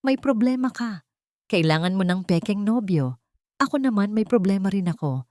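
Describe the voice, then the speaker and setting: A woman storyteller plays an emotionless tycoon who gives orders as if closing a business deal. The delivery is curt and deadpan, in a dramatic love story with a close, dry sound. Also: mid-range voice, moderate pace, no room sound, voice pushed up, natural voice. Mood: cold, businesslike. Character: cold, emotionless billionaire boss, a man.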